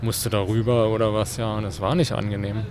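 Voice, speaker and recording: A man's voice: on the low side, little pitch movement, quick pace, a crowd in the background. A man interviewing someone in a casual, informal way, with slightly hesitant phrasing. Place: outdoors on a large urban lawn. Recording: noisy outdoor urban recording.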